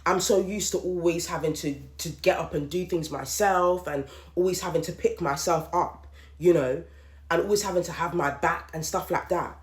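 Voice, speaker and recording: A woman, hosting a podcast and venting her thoughts in a candid solo monologue, with emphatic repetition. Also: on the low side. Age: late 30s. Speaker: podcast host. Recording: roomy echoey room.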